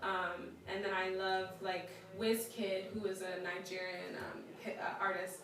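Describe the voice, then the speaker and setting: Young woman musician guest, lively and laughing, recorded far off in an echoey room with a crowd. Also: medium pitch, unhurried. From United States, Ghanaian parents. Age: young adult. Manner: lively, laughing, acts out quoted voices. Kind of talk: live-stream interview. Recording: echoey room, far from the mic, audience around.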